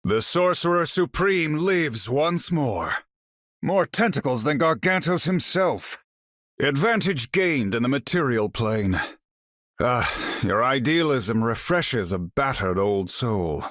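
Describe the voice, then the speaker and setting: A proud male sorcerer supreme from a video game. His delivery is grand and formal, as if every line were an incantation, with very animated pitch swings. The sound is dry and close. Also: slow pace, dry recording. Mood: proud, solemn, then commanding, urgent. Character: proud, battle-ready sorcerer supreme, grandly theatrical hero. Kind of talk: video game character voice lines.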